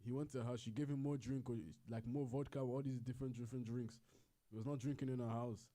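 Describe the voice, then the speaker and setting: A man's voice: medium pitch, steady tone, fast talker, dark tone. A man casually retelling a story in a rambling, repetitive way and correcting himself as he goes. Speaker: co-host.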